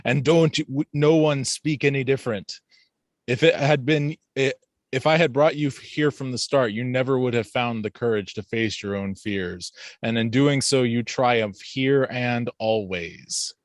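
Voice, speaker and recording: A man's voice: medium pitch, a little slow, no room sound, close to an everyday voice. A man voicing a grand old wizard who guards books, admonishing in a theatrical way. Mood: rousing, admonishing.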